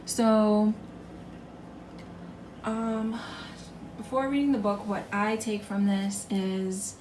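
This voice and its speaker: A woman's voice: medium pitch, little pitch movement, very slow, a little mellow, slight background noise. A woman with a froggy, strained voice giving an earnest, encouraging tarot reading in casual talk, often repeating herself. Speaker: tarot card reader. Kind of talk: casual spiritual pick-a-card reading. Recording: echoey room, far from mic, music behind. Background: music.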